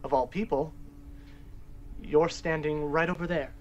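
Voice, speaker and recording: An actor playing a frightened young man who haltingly recounts a nightmare in a diner, in film audio. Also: fairly high pitch, steady tone, muffled recording, a little hiss or hum, close to an everyday voice. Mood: fearful. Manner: anxious, halting, hushed retelling.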